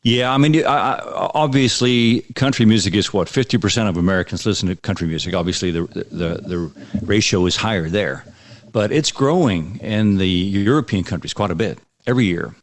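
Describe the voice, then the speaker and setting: A man from Iceland, a country singer, answering interview questions in a relaxed, halting way and often repeating words. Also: on the low side.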